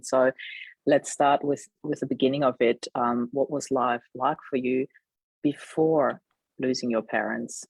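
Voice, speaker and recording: A woman hosting an interview podcast over a video call, her voice croaky from a cold, affirming her guest with frequent murmurs. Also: extremely low pitch, no room sound, poor audio. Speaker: podcast host.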